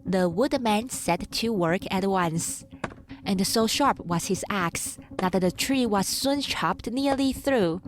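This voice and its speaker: A woman narrating a children's story from a script as an audiobook reader. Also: a little high. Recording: music behind the voice.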